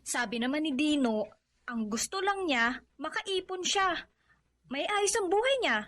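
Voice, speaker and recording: A woman's voice: high voice, expressive, a little slow, fairly bright tone. A woman with a Filipino accent playing a kind, loyal young friend in a radio drama, earnest and encouraging. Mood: concerned.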